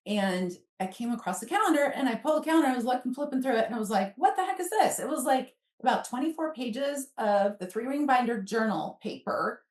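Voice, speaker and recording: A woman's voice: a little high, lively intonation, brisk, hardly any pauses. A woman in her fifties telling personal stories in a casual, conversational way, acting out quoted asides as a guest. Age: 50s. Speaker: guest author. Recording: roomy echo, distant from microphone.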